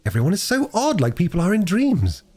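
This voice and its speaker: A man voicing an eager, warm-hearted young girl in a storybook reading, high and breathless. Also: wildly swooping pitch, a little fast, slightly rough, full character voice. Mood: excited.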